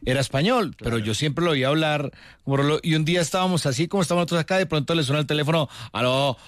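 A man with a fairly high voice hosts a radio talk show. He jokes and acts out bits of dialogue as he chats. His voice is close and dry, with no room around it. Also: rapid-fire, no room sound. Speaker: radio host. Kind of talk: casual radio chat.

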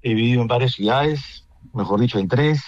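Peruvian-accented male priest, radio guest, thoughtful, halting delivery, dull dry line. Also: mid-range voice, dry recording. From Peru. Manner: halting, thoughtful, many restarts and fillers.

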